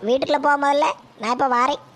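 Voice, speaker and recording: A woman playing a quick-tempered old village grandmother, scolding her grandson in a comedy skit. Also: high voice, fairly even intonation. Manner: nagging, scolding, sharp rebukes.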